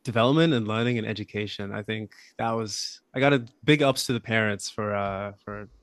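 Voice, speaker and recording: A young man from Australia, a podcast guest, talking in a relaxed, thoughtful way with lots of fillers and hedges. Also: on the low side, a little fast, clear, resonant tone, no echo. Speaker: podcast guest, app founder. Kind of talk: casual interview conversation.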